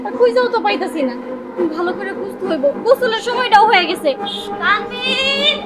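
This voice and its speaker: A woman acting a distraught village woman in an amateur melodrama, lamenting in a wailing, drawn-out, theatrical way. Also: extremely high pitch, animated, loud music behind the voice, over a noisy crowd. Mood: anxious searching. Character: distraught village woman lamenting loudly over a lost boy. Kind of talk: amateur short-film melodrama.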